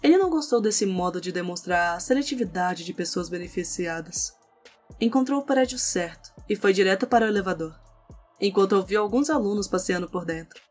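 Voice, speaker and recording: A woman's voice: mid-range voice, lively intonation, a little fast, darkish tone. A woman with a Brazilian accent reading scripted narration for an audio novel. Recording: music under the voice.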